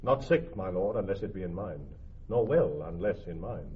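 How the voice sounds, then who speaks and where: Sombre messenger, tinny radio sound. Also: male voice, deep voice, lively intonation, moderate pace, a little hiss or hum. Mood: grave. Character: sombre, formal gentleman messenger with bad news. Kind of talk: classical Shakespearean radio drama.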